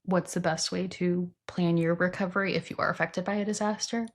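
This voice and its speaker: Young woman cohosting a podcast, lowish voice, laid back and practical. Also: steady tone, a little fast. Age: young adult. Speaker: podcast co-host. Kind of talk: casual conversational podcast. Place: indoor room with patterned wallpaper. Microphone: studio mic on stand, wearing headphones.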